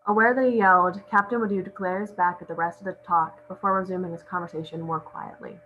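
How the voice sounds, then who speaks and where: A woman's voice: on the low side, fairly even intonation, fairly quick. A woman reading stage directions aloud as scripted narration at a table read. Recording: muffled, noisy lo-fi recording.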